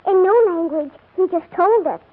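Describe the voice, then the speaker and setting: A woman's voice: extremely high pitch, moderate pace. A female performer playing a polite, matter-of-fact little English girl in a 1940s radio drama. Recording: tinny old radio broadcast.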